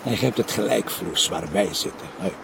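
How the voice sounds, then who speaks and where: An actor with a Flemish accent playing a cocky, sardonic middle-aged police commissioner in a TV crime drama, speaking colloquially with a gravelly voice. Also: male voice, low voice, brisk, noisy background. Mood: sardonic, instructive. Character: cocky, hard-drinking, teasing male police commissioner. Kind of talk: naturalistic TV crime drama dialogue.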